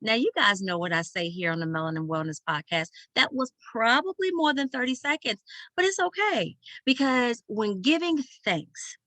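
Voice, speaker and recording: A woman's voice: fairly high pitch, animated, moderate pace, sharp tone, no echo. A woman hosting a podcast, speaking earnestly and emphatically in flowing, repeated phrases. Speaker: podcast host. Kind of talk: upbeat podcast hosting, speaking of gratitude and introducing a guest.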